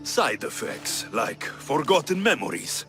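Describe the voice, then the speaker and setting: A wry mercenary hero from an ancient Greek adventure game. He is blunt and dry, with lively shifts of pitch, and music plays faintly behind him. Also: unhurried. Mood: firm, probing. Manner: dry, direct. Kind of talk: video game character acting.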